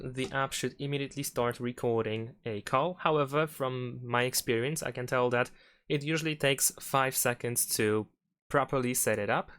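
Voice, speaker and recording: A man with a clean, smooth voice at a medium pitch presents a how-to tutorial. He explains each step in an instructional, unscripted manner, as a host guiding the listener through a task. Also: fairly bright tone. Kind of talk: step-by-step spoken phone tutorial.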